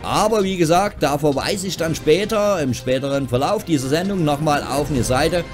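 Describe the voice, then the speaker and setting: A man's voice: on the high side, darkish tone. A man giving casual, tongue-in-cheek gaming news in a chatty, wry, informal way. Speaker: host of a gaming news show. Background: background music.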